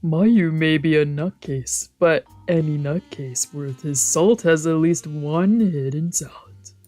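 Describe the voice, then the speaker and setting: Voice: medium pitch, slow pace, dark tone, faint music behind. A streamer of a visual novel reading the text aloud and adding casual, wry asides.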